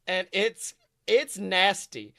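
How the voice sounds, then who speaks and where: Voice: medium pitch, animated, slow, vocal fry, bright, forceful tone. A podcast co-host chatting casually and comedically about movies, rambling, jokey and loose with swearing.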